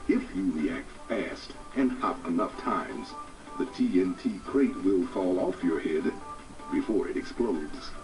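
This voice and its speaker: An actor voicing a wise guardian mask spirit in a video game, giving measured, instructive tips. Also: male voice, deep voice, expressive, recorded from a distance, over music, low-quality recording. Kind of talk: scripted video game tutorial.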